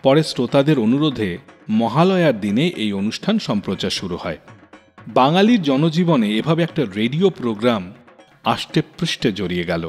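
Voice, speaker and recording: A man narrates a radio program in a practiced storyteller's way, his pitch sitting mid-range but swinging widely for dramatic effect. His voice rings with a long reverb, and soft music plays faintly underneath. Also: fairly quick. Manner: expressive, dramatic storyteller pacing. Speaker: radio show host.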